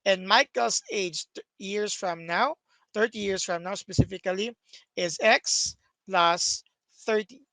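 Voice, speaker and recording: A man's voice: sits high, measured pace, pushed and bright, no echo. A young man teaching a math lesson over a screen recording, explaining step by step with many "okay"s, recorded at a desk.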